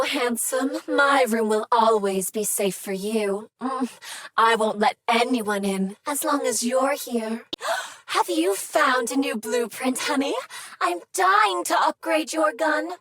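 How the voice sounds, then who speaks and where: Seductive female vending machine, game; high, unhurried, teasing purr, dry booth. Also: lively intonation, a bit bright, no room sound, heavily acted. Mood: sultry. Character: flirty female machine, sultry vending unit. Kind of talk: video game character dialogue.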